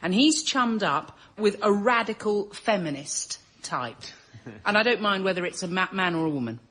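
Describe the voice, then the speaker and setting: A woman's voice: medium pitch, expressive, normal speed, a bit bright. A woman telling a comic idea as a panellist, rambling and laughing as she talks. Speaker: comedy panellist. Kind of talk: conversational comic storytelling. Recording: audience and faint noise behind. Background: studio audience.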